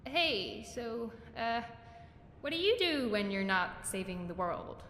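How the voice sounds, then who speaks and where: A woman's voice: fairly high pitch, very animated, takes it slowly, fairly bright tone, full character voice. A woman playing an eager, awkward, bookish young woman in a fantasy video game, gushing and rambling as she corrects herself. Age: young adult. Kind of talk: video game character acting. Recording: echoey hall, faint background noise.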